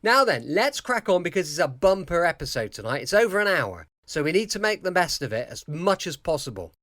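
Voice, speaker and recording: A man's voice: a little high, sing-song, brisk, fairly bright tone, dry recording, studio quality. A British man hosting a podcast from a bedroom, giving a chatty, informal introduction. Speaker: podcast host. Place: small bedroom, indoors.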